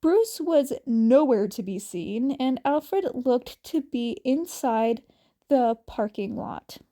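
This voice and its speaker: A woman with a somewhat high-pitched voice narrates fan fiction. She goes very slowly, with an informal, slightly halting delivery, like an amateur audiobook reader. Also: expressive, mellow.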